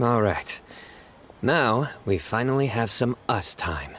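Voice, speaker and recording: A man voicing a composed, formal young warrior in a video game, measured and deadpan with a playful streak. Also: medium pitch, heavy background noise. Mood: calm, friendly. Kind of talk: anime-style video game voice acting.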